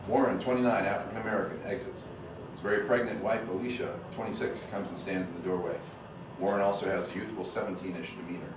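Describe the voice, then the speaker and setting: A man's voice: low-pitched, fairly even intonation, quick pace. A man reading the stage directions at a screenplay table read, heard from a distance in an echoey, noisy room.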